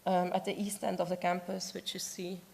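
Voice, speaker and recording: A woman's voice: fairly low pitch, flat delivery, fairly quick, gravelly, darkish tone. A woman giving a prepared conference presentation as an objects conservator, with a voice that is giving out. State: losing her voice.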